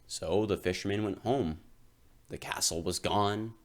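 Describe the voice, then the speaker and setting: A man's voice: on the low side, unhurried. A man narrating a children's storybook aloud as a storyteller.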